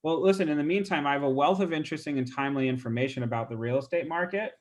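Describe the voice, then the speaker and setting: A real estate agent with a stuffy nose, casually walking through a call script with lots of fillers. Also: male voice, fairly high pitch, racing. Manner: casual, chatty, many fillers. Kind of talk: role-play training demo.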